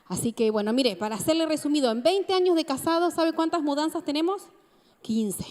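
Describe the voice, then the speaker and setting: An Argentine woman with a faintly Mexican lilt preaches a sermon in a high, bright voice. She keeps drawing in her listeners with questions. The sound rings in a reverberant hall. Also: animated, brisk. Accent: Argentine Spanish with a touch of Mexican. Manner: engaging, asks the congregation questions.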